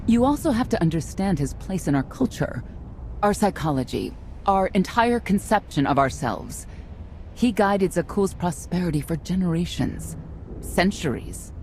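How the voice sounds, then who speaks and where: A gravelly, middle-aged warrior mother from a video game, weary and guarded. She speaks in measured, solemn phrases with the sternness of a knight. There is faint noise behind her. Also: lowish voice, lively intonation, a little mellow. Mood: sorrowful, bitter. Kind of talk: dramatic video game dialogue.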